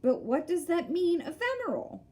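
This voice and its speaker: Storybook little prince: woman as a gentle young boy, high soft voice, wondering and persistent. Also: big pitch swings, moderate pace. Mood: curious. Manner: wondering, insistent questioning.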